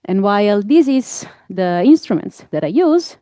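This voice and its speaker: Italian lady presenting her work, mellow and clear, relaxed. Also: mid-range voice, a little slow, dry recording, clean studio sound. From Italy. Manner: relaxed, fluent with soft fillers. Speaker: researcher giving a talk. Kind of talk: conference presentation.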